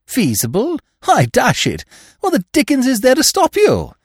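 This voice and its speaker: A man's voice: very high voice, huge pitch swings, normal speed, breathy edge, dry recording, clean studio sound, pitched above the performer's own voice, full character voice. A man voicing a breezy, cheerfully scheming upper-class young Englishman in a comic audiobook, eager and full of slangy exclamations. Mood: eager persuasion. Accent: British. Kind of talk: comic audiobook character reading.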